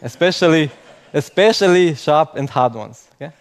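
Young non-native male tech speaker, self-mocking, fairly high voice, tags lines with "okay?". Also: animated, normal speed. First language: not English. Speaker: conference speaker. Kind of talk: conference talk to a live audience.

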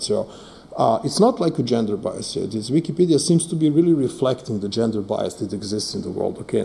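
Man with a deep, gravelly voice. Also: mellow. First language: Serbo-Croatian. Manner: hesitant, many fillers, asks "right?" often. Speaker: presenter. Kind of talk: technical presentation.

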